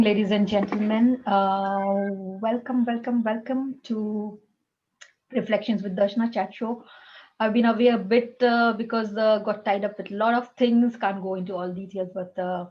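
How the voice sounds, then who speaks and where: A woman hosting a chat show, talking in a halting way with many fillers as she introduces her guest. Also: mid-range voice, darkish tone, muffled recording. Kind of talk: casual interview chat.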